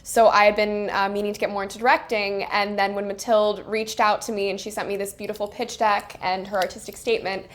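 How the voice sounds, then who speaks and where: A woman's voice: mid-range voice, flat delivery, brisk, few pauses, slight background noise. A young woman in her twenties, a film director talking casually in an interview, laughing often and speaking in long flowing runs. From United States.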